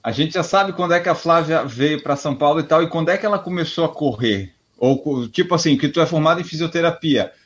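A man interviewing a guest, asking short questions in a relaxed, casual way. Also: a little high, fast talker, hardly any pauses.

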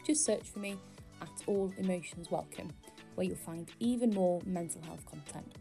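A young woman from Wales hosts her own podcast, talking on her own in a relaxed, rambling way with plenty of fillers. Her first language is Welsh. Her voice has a noticeable vocal fry running through it. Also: on the low side, moderate pace, faint music behind. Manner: chatty, self-deprecating, rambling with fillers. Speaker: podcast host. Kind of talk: casual solo podcast monologue.

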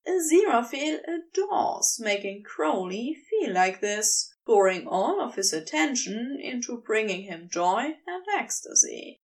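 A woman reading scripted fiction as an audiobook narrator, expressive and unhurried, heard slightly away from the microphone. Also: on the high side, recorded from a distance.

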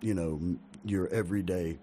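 A man with a naturally baritone voice, half awake in the early morning, chatting casually as a band's singer and acting out the people in his stories. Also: normal speed, a little hiss or hum. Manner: animated storyteller, acts out quoted people. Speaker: band vocalist, interview guest. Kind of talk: casual chat.

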